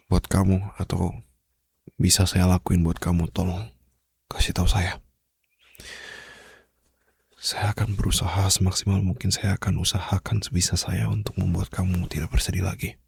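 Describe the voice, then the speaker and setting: A man with an Indonesian accent playing a protective, loving mafia boss in an intimate ASMR roleplay, speaking softly and reassuringly in a husky voice. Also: little pitch movement, no echo, heavily acted. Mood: tender, reassuring. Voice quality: deep, gravelly, husky. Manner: slow, soft, hushed, pausing, gently reassuring. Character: male mafia boss, tender and protective lover. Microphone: close studio condenser microphone.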